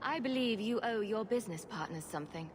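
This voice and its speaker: Woman playing a composed, mid-pitched adventurer. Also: expressive, brisk, noisy background, natural voice. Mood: cool, direct.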